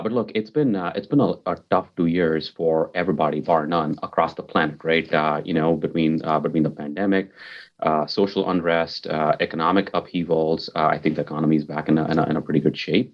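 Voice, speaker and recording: A man's voice: very deep voice, steady tone, fairly quick, muffled recording. A man, answering interview questions in an upbeat, earnest way and repeating words for emphasis. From Mumbai, India. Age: 40s. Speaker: podcast guest, technology executive.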